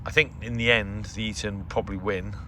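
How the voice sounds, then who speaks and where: A man's voice: lowish voice, steady tone, brisk, bright, forceful tone. A middle-aged man giving a casual, unscripted update, rambling hesitantly into asides. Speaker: radio hobbyist video host. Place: outdoors in a garden. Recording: outdoor ambient noise.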